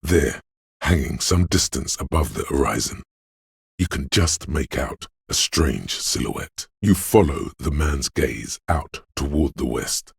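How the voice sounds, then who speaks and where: An actor voicing a calm, observant male inner voice of the senses in a game, narrating in a measured, deliberate way. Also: very deep voice, gravelly, slightly rough, dry recording, clean studio sound, heavily acted. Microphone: studio mic.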